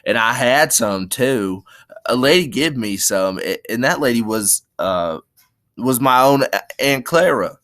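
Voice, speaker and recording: A man voicing a big, simple-minded, childlike man, eager and easily frightened, in slow, earnest, folksy speech for a story reading. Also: medium pitch, expressive, a bit bright, dry recording, clean studio sound. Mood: happy, eager.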